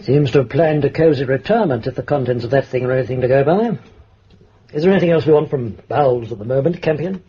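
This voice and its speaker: An actor playing a brisk, blunt police detective with an English accent in a radio drama, rough-voiced and commanding. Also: male voice, medium pitch. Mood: surprised, curious. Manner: brusque, exclamatory, commanding. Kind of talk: British radio drama acting. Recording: dull, muffled radio recording.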